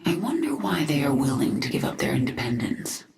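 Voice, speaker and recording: A woman's voice: a bass voice, very animated, brisk, gravelly, echoey room, over a noisy crowd, full character voice. A woman playing a determined, commanding alien warrior queen in a video game, speaking in terse lines. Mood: reflective. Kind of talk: video game cutscene acting.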